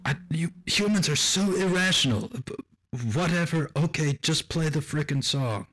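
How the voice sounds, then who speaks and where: A man playing a cold, condescending artificial intelligence villain in a radio drama, speaking in formal, pompous, deliberate phrases. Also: on the high side, a bit bright, lightly acted. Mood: exasperated. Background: music.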